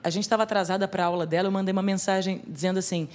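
A woman from Brazil, a theatre artist with a Brazilian accent softened by living in Portugal, telling a story in a lively, conversational way. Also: medium pitch, very fast. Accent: Brazilian Portuguese, softened by life in Portugal.

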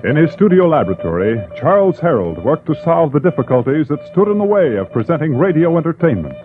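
A man's voice: a little high, big pitch swings, a little fast, music in the background. A man narrating in an emphatic, theatrical scripted 1940s radio style. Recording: tinny 1940s radio broadcast.

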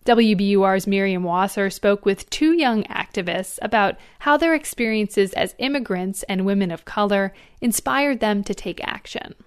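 A woman hosting a radio news magazine, reading a scripted introduction in a measured, even way. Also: mid-range voice, clean tone. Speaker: radio show host.

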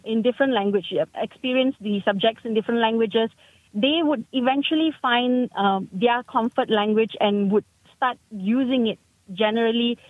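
Lady calling in on the phone, kinda high voice, halting, thinks out loud, dry tinny line. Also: no echo. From Malaysia. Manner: halting, thoughtful, searches for words. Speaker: caller. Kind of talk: call-in radio chat.

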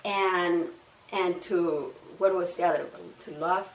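A woman's voice: fairly low pitch, steady tone, reverberant, distant mic, slight background noise, low-quality recording. Woman with a Somali accent. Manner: earnest, halting, many restarts and "you know". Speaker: guest. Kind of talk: casual testimony interview.

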